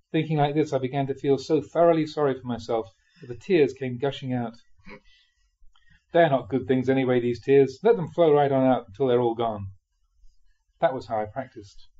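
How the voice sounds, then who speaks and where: A man reading a spiritual memoir aloud expressively, in a measured way with dramatic pauses. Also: medium pitch, warm tone, highs cut off. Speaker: reader of Buddhist teachings.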